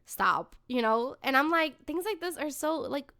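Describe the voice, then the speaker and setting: A woman's voice: high voice, expressive, measured pace, vocal fry, pushed and bright. A woman hosting a podcast in casual chat, informal and giggly, swearing freely. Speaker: podcast host.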